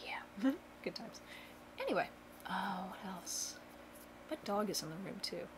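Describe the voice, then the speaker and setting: A woman's voice: mid-range voice, sing-song, measured pace, whispered. A young woman chatting casually to camera at home, rambling with lots of fillers and dry self-mocking humor. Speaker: vlogger. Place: home bedroom.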